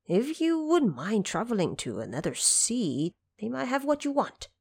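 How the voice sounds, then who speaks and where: A woman's voice: a little high, huge pitch swings, moderate pace, vocal fry, a little mellow, breathy edge, no echo, pitched above the performer's own voice, full character voice. A woman voicing an anxious, courteous old male dragon king in an audio drama, pleading and hesitant. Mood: apologetic.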